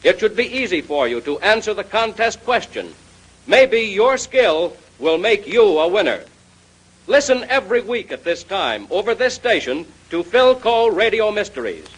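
High-pitched, animated American radio announcer man. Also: normal speed, breathy edge, poor audio. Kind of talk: 1930s radio announcing, scripted.

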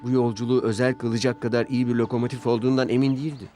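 Male children's story narrator over loud music. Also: mid-range voice, rapid-fire. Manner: expressive storytelling. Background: background music.

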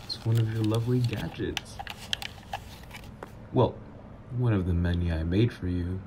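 A man's voice: on the low side, unhurried, noisy background, close to an everyday voice. A man voicing a teasing supervillain ex. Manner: teasing, playful, flirtatious. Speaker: voice actor. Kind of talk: ASMR roleplay audio.

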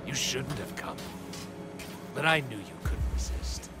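An actor playing a smug, vengeful, unhinged scientist villain in a video game, taunting and theatrical. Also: male voice, medium pitch, sing-song, measured pace. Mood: menacing. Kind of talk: dramatic video game acting. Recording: loud music behind the voice.

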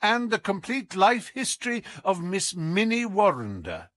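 A man voicing a sharp, commanding detective in an audiobook, coolly taunting, with deliberate, teasing emphasis. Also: high-pitched, huge pitch swings, no echo, pitched above the performer's own voice, exaggerated voice. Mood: accusing. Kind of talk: audiobook character acting.

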